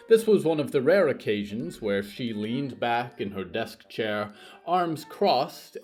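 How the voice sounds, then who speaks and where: A man narrating scripted horror fiction as host, in a theatrical, deliberate style. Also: medium pitch, a little mellow.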